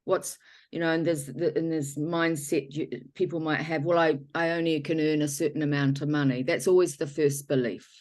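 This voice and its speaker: A woman with a notably low voice leads a guided healing talk as the guest. She rambles and often corrects herself mid-sentence. She keeps circling back to rephrase her points. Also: fairly even intonation, normal speed, darkish tone. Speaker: healer guest.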